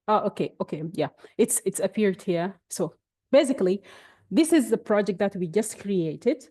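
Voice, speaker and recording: A young woman whose first language is Arabic, presenting a webinar and walking through software on screen in a chatty, explanatory way with frequent restarts. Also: mid-range voice, animated, normal speed. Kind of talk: screen-share software demonstration.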